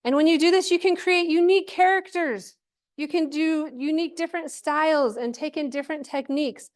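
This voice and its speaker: A woman's voice: high voice, brisk. A woman giving an informal workshop presentation to a live group, chatty and encouraging, often checking in with questions.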